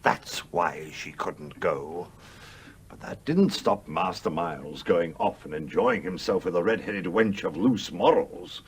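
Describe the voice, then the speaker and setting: An actor playing the gruff, blunt ghost of a sea captain in a radio play, with a British accent and emphatic, old-fashioned speech over background noise. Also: male voice, low-pitched, unhurried. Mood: scolding. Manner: blustery, emphatic, old-fashioned turns of phrase.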